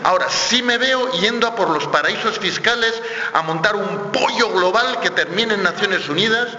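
A man's voice: high-pitched, normal speed. Emphatic man in an echoey hall. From Spain. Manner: animated, colloquial, emphatic. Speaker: panelist. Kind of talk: round-table debate. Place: theatre school hall. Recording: echoey hall, dull sound.

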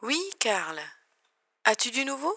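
A woman's voice: on the high side, very animated, takes it slowly, vocal fry, sharp tone, faint music behind, heavily acted. A woman playing a composed, reassuring security agent in an audio drama. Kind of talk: French audio drama acting.

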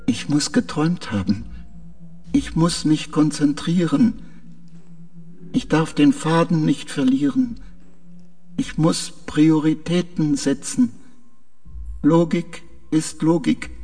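Slow, halting spaceship mind. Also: male voice, on the high side, warm tone, faint music behind. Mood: strained, earnest. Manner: halting, short simple sentences. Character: confused, dreamy male artificial mind of a spaceship. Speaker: radio drama actor. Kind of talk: German radio play dialogue acting.

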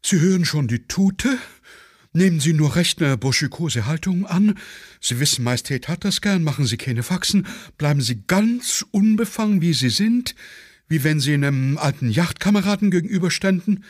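An actor playing a hurried, anxious aide-de-camp who coaxes and fusses urgently. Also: male voice, a little high, expressive, no echo, clean studio sound, voice pushed up. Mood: urgent coaxing. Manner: urgent, coaxing, fussy. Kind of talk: radio play acting.

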